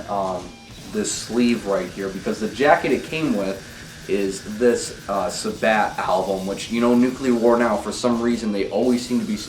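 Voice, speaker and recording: Young man, sick with a sore throat, laid-back rambling record talk; low voice, distant roomy camera audio. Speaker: vinyl collection vlogger. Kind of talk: casual record-collection talk. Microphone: camera mic. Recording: roomy, distant camera mic, faint noise.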